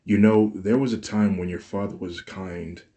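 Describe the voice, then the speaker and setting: Soft-spoken mother, as a man reads her. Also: fairly even intonation, moderate pace, close to an everyday voice. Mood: gentle. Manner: gentle and hushed, turns shrill when upset. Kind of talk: fan story read aloud.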